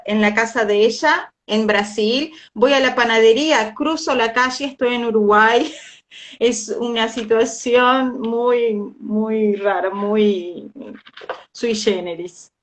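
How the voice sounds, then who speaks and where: A woman's voice: fairly high pitch, slow. A woman from Brazil, a native Portuguese speaker, talking as a guest in a casual interview, with frequent laughter and hesitant fillers. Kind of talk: casual conversational storytelling.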